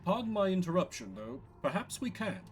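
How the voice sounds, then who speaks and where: A man's voice: sits high, sing-song, fairly quick, pitched above the performer's own voice. A man voicing a polite, wordy go-between character from a video game. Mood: courteous.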